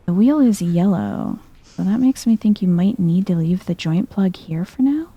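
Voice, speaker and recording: A soft-spoken woman giving chill, hushed ASMR commentary while thinking aloud through a video game. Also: lowish voice, expressive, normal speed, husky, lo-fi sound.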